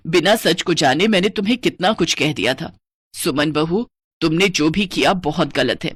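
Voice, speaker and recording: A woman's voice: sits low, fairly bright tone, breathy edge, dry recording, pitched below the performer's own voice, heavily acted. A woman voicing a stern, matronly old mother-in-law in a moral story, admonishing her daughters-in-law.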